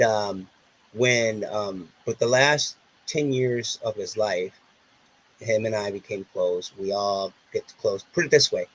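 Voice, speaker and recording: A man's voice: fairly low pitch, fairly bright tone, a little hiss or hum, low-quality recording. A man from Puerto Rico whose first language is Spanish, with a Puerto Rican accent, telling stories earnestly in a casual interview and often repeating himself. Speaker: podcast guest.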